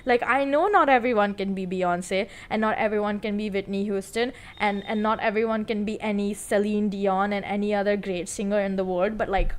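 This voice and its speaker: A woman's voice: medium pitch, fairly even intonation, moderate pace, hardly any pauses. A young woman talking casually to viewers, rambling with lots of fillers as she tells an exasperated story. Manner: chatty, rambling, full of "like" and "you know". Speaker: singing streamer.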